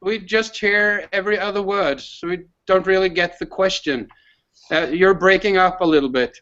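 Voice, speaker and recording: A Norwegian man speaks as a teacher on an informal video call. His voice is high and bright, and his manner is polite and somewhat hesitant. The connection makes him sound a bit dull and lo-fi. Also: moderate pace.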